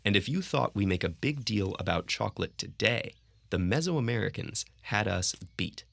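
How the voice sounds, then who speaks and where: Low gravelly educational-video narrator. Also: male voice, expressive, fairly bright tone. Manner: smooth, measured storytelling delivery. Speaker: documentary narrator.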